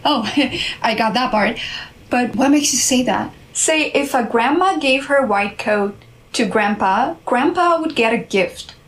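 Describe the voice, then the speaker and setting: A woman's voice: a little high, animated, measured pace, low-quality recording. Playful woman, native slovenian speaker, voice bouncing all over. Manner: playful, amused. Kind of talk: playful social media skit.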